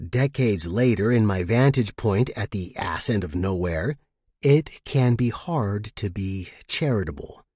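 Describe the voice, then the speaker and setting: A man with a husky voice reading first-person short fiction as an expressive narrator. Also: medium pitch, dry recording, clean studio sound.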